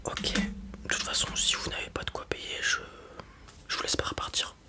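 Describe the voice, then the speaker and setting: A man playing a blunt, casual space robot merchant in a whispered ASMR roleplay, muttering hesitantly in a breathy voice. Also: moderate pace, sharp tone. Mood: blunt, world-weary. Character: gruff, casual male space robot merchant, wary but kind.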